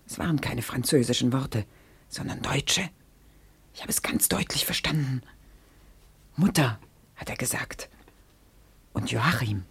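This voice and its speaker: A woman's voice: a bass voice, lively intonation, measured pace, long pauses, husky, heavily acted. An actress playing a scheming landlady in a radio play, whispering secrets and then pleading frantically for her captive husband. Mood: conspiratorial. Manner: conspiratorial, turning frantic and pleading. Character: scheming, then desperate wife of a prisoner of war.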